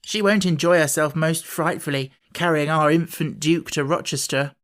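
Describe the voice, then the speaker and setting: A woman's voice: sits low, fairly even intonation, normal speed, lightly acted. A woman voicing a grumpy, blunt schoolboy in a storybook, with a snappish, brusque manner. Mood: scornful.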